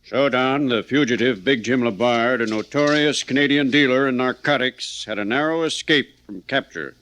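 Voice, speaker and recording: A man's voice: medium pitch, moderate pace, fairly bright tone, natural voice. A man playing an authoritative, matter-of-fact police chief in scripted 1940s radio narration.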